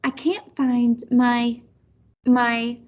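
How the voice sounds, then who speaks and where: A woman voicing a forgetful elderly grandmother from a storybook, affectionate but easily annoyed, halting as she searches for words. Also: on the high side, little pitch movement, dull audio. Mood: searching.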